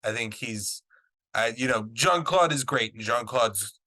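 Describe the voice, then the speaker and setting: Bright-voiced man chatting about movies. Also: mid-range voice, expressive, poor audio. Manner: laughs often, halting with fillers. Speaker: podcast co-host. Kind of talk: casual movie discussion.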